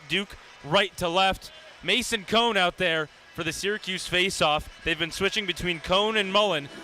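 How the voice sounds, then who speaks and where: A young man with a high voice works as a radio play-by-play announcer. He calls the action live in short, vivid phrases, over clear background noise from the venue. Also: pushed and bright. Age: young adult. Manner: clipped, vivid present-tense calls. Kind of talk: live sports radio commentary. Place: live at a lacrosse game. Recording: noisy live stadium broadcast. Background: venue ambience and noise.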